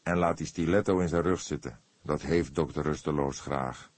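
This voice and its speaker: A man voicing a gruff older police detective in an audiobook, dry and instructing. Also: very deep voice, normal speed, no room sound.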